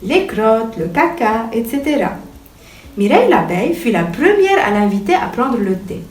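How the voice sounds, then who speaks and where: Animated high-voiced woman reading a bedtime story. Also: normal speed. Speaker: storyteller reading to a young child. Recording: echoey room, distant mic, music behind.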